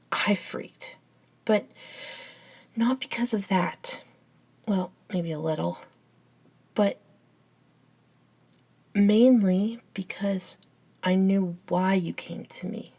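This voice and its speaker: A woman playing an earnest, bookish young best friend in an ASMR audio roleplay, soft-spoken and hesitant, with pauses. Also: mid-range voice, fairly even intonation, gravelly. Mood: sincere, confiding. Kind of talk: ASMR roleplay acting, one side of a dialogue.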